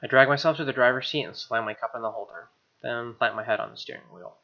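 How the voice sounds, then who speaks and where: A man reading visual novel narration aloud as a let's player, expressive, with casual asides and reactions. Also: medium pitch, quick pace. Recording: dull lo-fi mic, music clearly behind. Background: game music.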